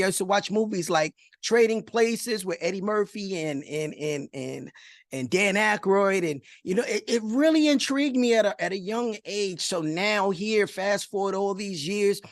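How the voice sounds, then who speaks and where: A man's voice: high-pitched, no room sound, clean studio sound. A middle-aged man with a New York accent presenting a motivational online webinar, speaking emphatically, repeating phrases and checking in with his listeners. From New York, United States. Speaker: host of an online investing masterclass. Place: online video call.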